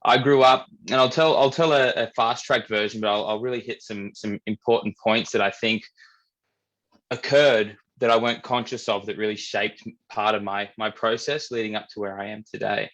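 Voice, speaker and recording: Aussie guy, lowish voice, chill and open, talks through his backstory with lots of restarts. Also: moderate pace, poor audio. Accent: Australian. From New South Wales, Australia. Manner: relaxed, candid, repeats words while thinking. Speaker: men's coach, guest. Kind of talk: casual storytelling interview.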